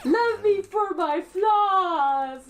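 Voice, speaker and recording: A woman's voice: very high voice, lively intonation, drawn-out words, slight background noise. A woman chatting casually and teasingly while watching a retro game being played. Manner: playful, teasing, giggly. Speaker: co-host watching a playthrough. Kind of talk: casual gaming chat.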